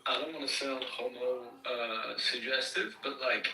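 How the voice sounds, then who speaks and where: Bright-voiced man teasing his friend. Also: medium pitch, flat delivery, measured pace. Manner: teasing, needling questions. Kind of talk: casual online group chat. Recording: roomy, distant audio.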